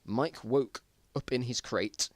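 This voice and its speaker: A man's voice: medium pitch, slow, dry recording. A man giving an amateur dramatic reading of his own old story, with amused, self-mocking asides. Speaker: narrator.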